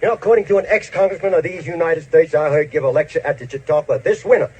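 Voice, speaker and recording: A man's voice: fairly high pitch, fast, darkish tone, husky, highs cut off. An actor playing an opinionated rancher father in an old western film, folksy and emphatic. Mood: insistent.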